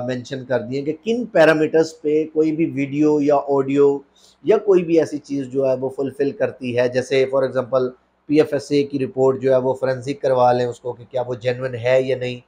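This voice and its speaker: A man's voice: medium pitch, dark tone. A man with a Pakistani accent giving an explanatory legal lecture as a lawyer, mixing in English terms, in a didactic way that repeats phrases for emphasis.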